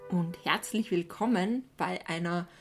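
A woman's voice: fairly low pitch, unhurried, loud music behind the voice. A woman with an Austro-Bavarian accent co-hosting a podcast in a casual, enthusiastic, exclaiming chat. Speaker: podcast co-host.

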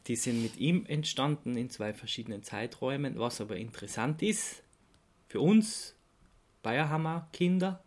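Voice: medium pitch, a little mellow. A podcast host chatting casually in a jokey way, often ready to laugh. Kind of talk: casual chat.

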